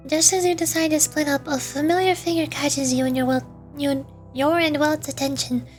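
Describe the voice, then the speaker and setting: High-voiced woman streaming a video game. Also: steady tone, fairly quick, faint music behind. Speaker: VTuber streamer. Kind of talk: casual game stream chat and reading narration aloud.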